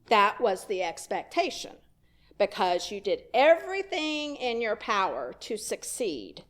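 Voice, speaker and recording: A woman's voice: mid-range voice, big pitch swings, a little slow. A woman minister giving a sermon in a church, telling a story in a conversational way with wry asides. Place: church sanctuary.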